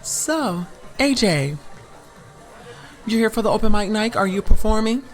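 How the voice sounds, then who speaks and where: Audio story role: supportive, nosy woman friend, raised lilting pitch, performed by a man, light music behind. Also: animated, unhurried. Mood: nosy, chatty. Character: bubbly, nosy, supportive girlfriend of the heroine.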